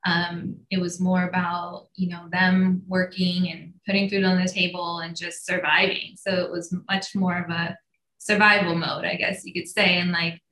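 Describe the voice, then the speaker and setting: A woman's voice: fairly low pitch, level tone, normal speed, bright, forceful tone. An Iranian-born woman telling her life story as a podcast guest, chatty and full of fillers and restarts. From Iran. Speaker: guest entrepreneur. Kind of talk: casual storytelling. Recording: roomy echo.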